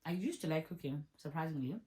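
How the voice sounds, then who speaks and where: A woman in her thirties with a notably low, deep voice. She chats casually through a viewer Q&A, repeating her points for emphasis. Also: lively intonation, fast talker, dark tone, low-quality recording. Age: 30s. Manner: chatty, repeats herself for emphasis. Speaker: vlogger. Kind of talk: casual Q&A answering viewer questions.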